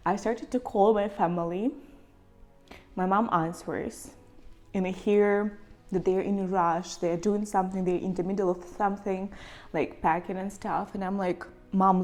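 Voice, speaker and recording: A young woman with a Ukrainian accent, telling a personal story to camera in a halting, emotional way, close to tears. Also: medium pitch, darkish tone. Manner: emotional, halting, near tears, with many pauses and fillers. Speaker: vlogger.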